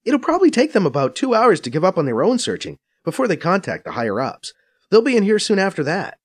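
A man's voice: sits high, fast, pitched above the performer's own voice, heavily acted. Faiza, a man doing a brash, foul-mouthed female agent. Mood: practical. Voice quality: raised, lively, cocky voice. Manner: swears freely and teases. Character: brash, profane, teasing female undercover agent. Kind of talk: audiobook character voice.

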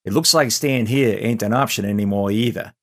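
A man's voice: lowish voice, a little fast, a bit bright, voice pushed down. A man voicing a laconic, wary transport pilot in an audio story, casual and matter-of-fact. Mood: wary, practical. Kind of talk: audio story character dialogue.

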